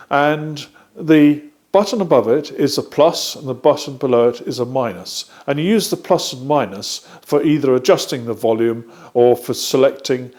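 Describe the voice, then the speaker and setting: A British man presenting a product demonstration step by step, explaining methodically and often pausing mid-sentence. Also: medium pitch, darkish tone.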